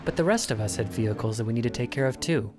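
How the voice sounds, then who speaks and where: A man giving smooth scripted narration that links the interviews of a local podcast. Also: medium pitch, lively intonation, fast talker. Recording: music underneath.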